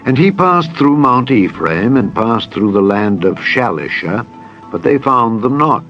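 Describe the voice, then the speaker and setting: Slow, solemn man reading scripture over music. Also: medium pitch, sing-song, dull audio. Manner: solemn, measured, formal. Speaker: Bible narrator. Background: background music.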